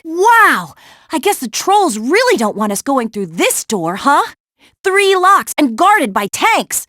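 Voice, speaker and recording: A woman playing a spirited, capable young adventurer who guides missions in an animated video game, with a peppy, expressive, conversational delivery. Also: high voice, dry recording, studio quality, exaggerated voice. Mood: upbeat, encouraging.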